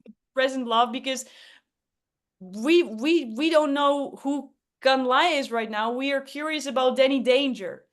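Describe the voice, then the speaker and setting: A woman's voice: sits high, measured pace. A woman facilitating an interactive workshop in an encouraging, reassuring way.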